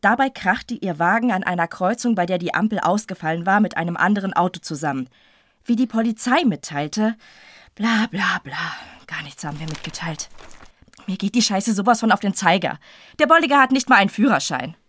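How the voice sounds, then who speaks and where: A woman's voice: mid-range voice, animated, brisk, a bit bright, no echo, clean studio sound. An actress playing a sardonic, blunt female police inspector in an audio drama. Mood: irritated, sardonic.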